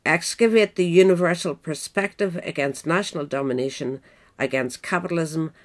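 Woman reading scripted nonfiction, measured. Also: low voice. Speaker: audiobook reader. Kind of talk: scripted narration of a political history essay.

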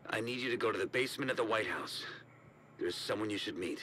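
A man's voice: fairly low pitch, quick pace, bright, forceful tone, slight background noise. An actor playing a reluctant, uneasy male ally in a video game, speaking hesitantly. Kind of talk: naturalistic video game dialogue.

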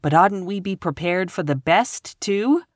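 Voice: mid-range voice, expressive, unhurried, no echo, clean studio sound, voice pushed up, natural voice. A narrator voicing an eager, idealistic sixteen-year-old girl in a storybook, earnest, effusive and pleading. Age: teens.

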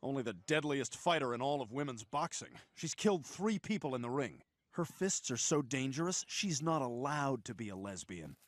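A man voicing a flippant, blunt side character in an adult animated sitcom. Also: medium pitch, moderate pace, no echo, studio quality, close to an everyday voice. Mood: awed, ominous.

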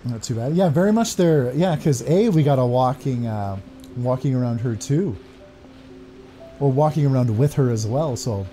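A man's voice: mid-range voice, animated, normal speed, warm tone, soft background music. A man in his thirties giving casual playthrough commentary on a game into a headset at home. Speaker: gaming streamer. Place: indoor room at home, seated.

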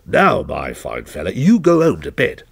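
A man's voice: deep voice, huge pitch swings, moderate pace, creaky, husky, dry recording, pitched below the performer's own voice, heavily acted. A man voicing an uneasy working-class night watchman who speaks hesitantly and defensively.

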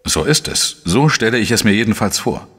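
A male actor playing a shrewd, dry investigative journalist who talks in a measured, explanatory way in a crime radio play. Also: on the low side, sing-song, fairly bright tone, husky, in a large hall, exaggerated voice. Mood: measured reasoning. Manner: measured, explanatory, matter-of-fact. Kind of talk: German crime radio play, narration and dialogue.

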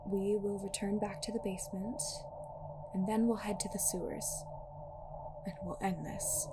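A woman's voice: mid-range voice, steady tone, normal speed, very noisy, lightly acted. A woman playing a commanding, composed interrogator in an audio drama, speaking formally in stern, clipped phrases. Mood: composed resolve. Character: commanding, composed female interrogator with mind powers.

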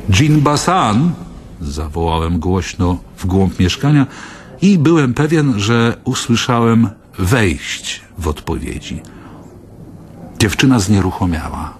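An actor playing an awkward, self-absorbed writer who musingly and haltingly tells of a postwar reunion in a radio drama. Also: male voice, low-pitched, exaggerated voice. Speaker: narrator and lead character. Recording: clear background ambience noise.

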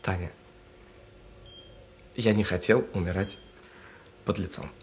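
An actor in an audio drama playing a guilt-ridden bomb technician on the phone, speaking haltingly and earnestly. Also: male voice, deep voice, fairly even intonation, a little hiss or hum. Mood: relieved, weary. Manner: halting, earnest, apologetic, with stammered restarts. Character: guilt-ridden male bomb defuser saying goodbye by phone.

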